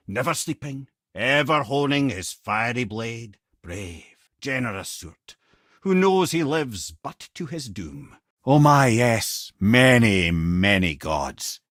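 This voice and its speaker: An actor playing a witty, learned old storyteller and guide in a video game, with a Scottish accent and a wry, erudite, theatrical way of talking. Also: male voice, medium pitch, sing-song, slow pace, a bit bright, dry recording, studio quality. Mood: solemn storytelling, then counselling, wry. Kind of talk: video game character voice lines, storytelling and banter.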